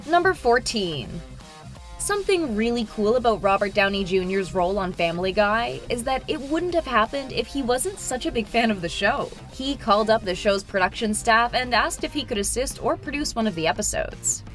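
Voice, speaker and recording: A woman with a fairly high, very animated voice delivers scripted countdown narration. She sounds like an entertainment video narrator, with faint music running underneath. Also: moderate pace.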